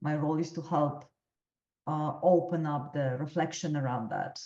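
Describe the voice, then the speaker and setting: A woman with a very low voice thinks aloud hesitantly in a discussion. The audio is echoey and muffled, as on a call recorded in a roomy space. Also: normal speed, mellow. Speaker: coaching supervisor in discussion. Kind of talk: reflective discussion.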